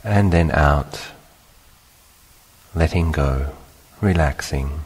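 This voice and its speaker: Very deep, slow-speaking male meditation guide. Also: slightly rough. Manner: calm, unhurried, instructional with long pauses. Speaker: meditation teacher, monk. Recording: long hall-like reverberation.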